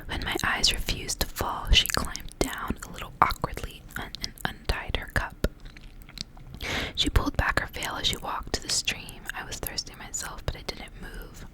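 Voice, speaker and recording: A woman reading aloud in a soft, breathy, unhurried whisper, in the style of an ASMR storyteller. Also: low-quality recording.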